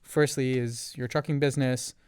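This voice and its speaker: A man from Canada, a guest on a podcast, who gives measured answers laid out in ordered points. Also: mid-range voice, fairly even intonation, darkish tone, clear, resonant tone, no echo. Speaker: guest, revenue operations leader. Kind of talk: interview answers.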